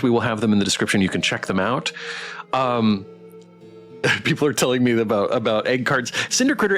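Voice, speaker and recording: A man's voice: mid-range voice, fairly bright tone. Enthusiastic male stream host over background music. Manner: enthusiastic, encouraging. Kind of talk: casual livestream interview.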